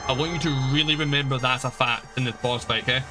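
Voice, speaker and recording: A man's voice: mid-range voice, fairly even intonation, fast. A man giving casual live commentary as he streams a video game. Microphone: headset. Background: loud game music.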